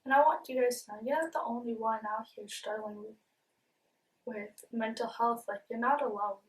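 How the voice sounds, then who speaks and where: A young woman talks to the camera in a fairly high voice, with a speech impediment that softens some sounds. She is earnest and open and keeps restarting with ums and repeated words. She is picked up by a camera mic set a bit away from her. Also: steady tone, moderate pace, far from the mic, poor audio. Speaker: vlogger. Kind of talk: casual confessional talk to camera.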